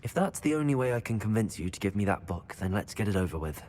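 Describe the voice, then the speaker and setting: A man playing a polite, composed student adventurer in a video game, answering briefly and in a measured way. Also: on the low side, sing-song, darkish tone, a little hiss or hum. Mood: composed, willing. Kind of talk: video game character acting.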